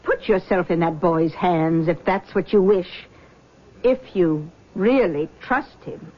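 A woman's voice: fairly low pitch, takes it slowly, slight background noise. An actress playing a doting but meddling, disapproving middle-aged mother, coaxing and pointed, in a 1970s radio drama. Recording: dull vintage radio recording.

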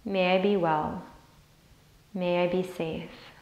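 Echoey room, woman meditation teacher, lowish voice, soothing, deliberate guided-meditation delivery. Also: steady tone, darkish tone, highs cut off. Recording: roomy, echoey sound.

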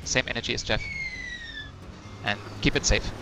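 A man's voice: medium pitch, fairly even intonation, moderate pace. A man working as a race engineer, giving short instructions over team radio. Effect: team radio transmission. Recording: radio transmission under music, engine noise.

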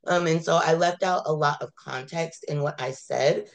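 A woman's voice: deep voice, moderate pace, gravelly. A middle-aged woman on a video call, congested from a cold, speaking conversationally and apologetically as an advocacy manager. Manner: apologetic, conversational, says y'all. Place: home room, indoors.

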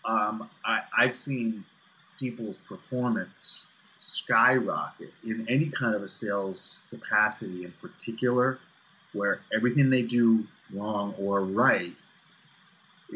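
A man in his fifties explaining things emphatically and deliberately as an interview guest on a video call. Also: fairly low pitch, bright, forceful tone, breathy edge, low-quality recording. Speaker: guest, author and executive coach. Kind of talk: interview answers on business networking. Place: small furnished room indoors, on a video call. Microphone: video call, computer at desk distance.

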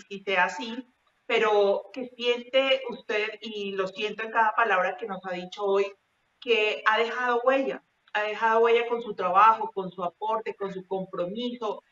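A woman's voice: on the high side, a bit bright, distant mic. Give me a woman interviewer with a formal, effusive delivery, heard from afar in an echoey room over dull call audio. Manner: effusive, formal, long run-on phrasing. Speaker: news interviewer. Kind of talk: interview host remarks.

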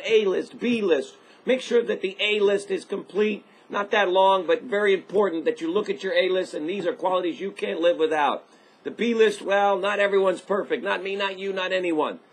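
A man's voice: fairly high pitch, breathy edge. A man in his fifties hosting a daily motivational talk, speaking straight to camera in an upbeat coaching manner and repeating his key points. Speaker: motivational host. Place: indoors by large window, high-rise view.

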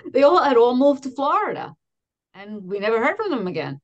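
A woman in her fifties from Queens, a guest on a video call, telling family stories in an animated way with many restarts. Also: on the high side, no echo, lo-fi sound. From Astoria, Queens, New York. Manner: animated storytelling, many restarts and "you know". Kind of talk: casual conversation. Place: home office with framed certificates.

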